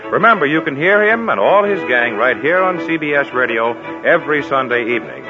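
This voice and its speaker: A man's voice: mid-range voice, sing-song, brisk. An American man delivering a scripted 1950s network radio promo. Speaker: radio announcer. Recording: dull old radio recording, music behind.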